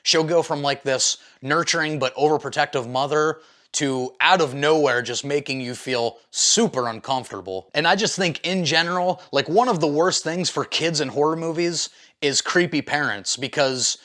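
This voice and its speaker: A man's voice: on the high side, moderate pace, fairly bright tone. A man hosting a casual film review, talking in an informal, enthusiastic, slangy and opinionated way. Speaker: movie reviewer, video host.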